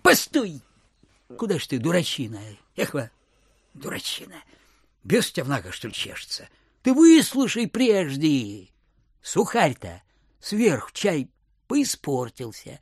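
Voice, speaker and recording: An actor playing a miserly, suspicious old landowner in an audio drama, muttering and repeating his words in a querulous, husky voice. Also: a little high, wildly swooping pitch, measured pace, long pauses, dry recording, clean studio sound, exaggerated voice. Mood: scolding, bossy. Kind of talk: Russian audio drama acting.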